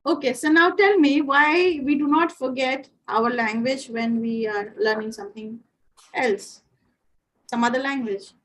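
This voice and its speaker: A woman whose first language is Bengali leads a classroom discussion in English. Her voice is high, and she keeps putting questions to the students, pausing on fillers as she thinks. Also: rough recording. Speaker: teacher.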